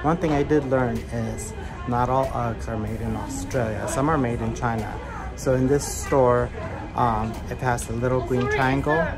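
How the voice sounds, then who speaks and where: A man's voice: mid-range voice, steady tone, a little slow, over music. A man in his thirties from the United States, chatting casually while walking around a city and filming himself on a phone at arm's length. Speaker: travel vlogger. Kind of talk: casual walking-tour vlog. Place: outdoors on city streets, parks and in shops. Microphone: phone held at arm's length.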